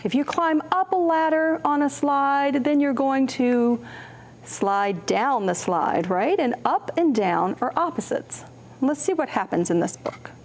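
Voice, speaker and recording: Slow, higher-pitched woman reading to young children. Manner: simple phrasing with questions to young listeners; expressive, acts out contrasts with her voice. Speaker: children's storytime host, librarian. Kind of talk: reading picture books aloud to young children.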